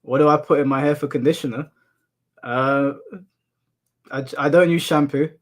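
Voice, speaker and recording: A man from the UK with a monotone voice, casually chatting and answering his livestream chat, laughing off insults. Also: fairly high pitch, normal speed. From United Kingdom. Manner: relaxed, laughs off insults, addresses chat as bro. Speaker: streamer. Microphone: headphones in, desk mic.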